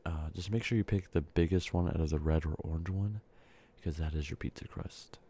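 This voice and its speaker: A congested man with a very low, gravelly voice talks softly and slips into whispers, rambling through an ASMR video with lots of ums and uhs. His tone is dark and mellow. He sounds sick and short of breath. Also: fairly quick. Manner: soft speaking drifting into whispers, rambling with fillers. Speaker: ASMR creator. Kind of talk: soft-spoken ASMR candy-making ramble.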